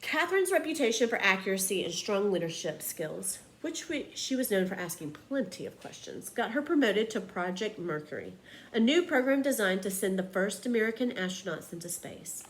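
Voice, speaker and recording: A woman reading a children's picture book aloud like a teacher, with expressive, emphatic storytelling. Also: medium pitch, fairly quick, a little hiss or hum, rough recording.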